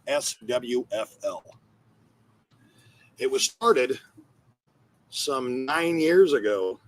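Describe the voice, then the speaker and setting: A man giving a personal monologue in a husky voice, blunt and profane, pausing between phrases. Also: mid-range voice, a bit bright, a little hiss or hum, rough recording. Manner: blunt, profane, halting with pauses. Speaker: comedian and charity founder.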